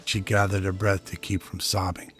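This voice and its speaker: A low-voiced amateur actor as a male narrator tells a science fiction audio drama in measured prose. His delivery stays level, with little rise or fall, over music. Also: monotone, a bit bright. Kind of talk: scripted radio drama narration.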